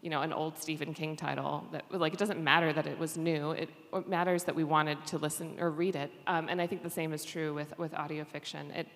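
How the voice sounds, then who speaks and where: In a big echoing hall, a woman with a slightly low voice talks as a panelist. She speaks unscripted and emphatically, stopping and restarting phrases as she makes her point. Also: level tone. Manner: emphatic, halting with restarts and repeats. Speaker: panelist from a fiction podcast company. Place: indoor conference panel hall. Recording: reverberant hall.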